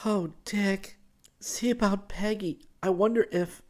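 A man voicing a lively, affectionate young woman in an audiobook, chatty and eager, taking charge of her friends. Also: high voice, slow pace, voice pushed up. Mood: anxious. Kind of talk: audiobook character dialogue.

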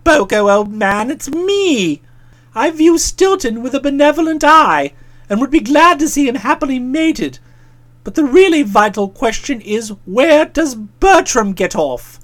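A man voicing a hapless young English gentleman in a storybook, pleading in a plaintive, emphatic, excitable way. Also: very high-pitched, heavily acted.

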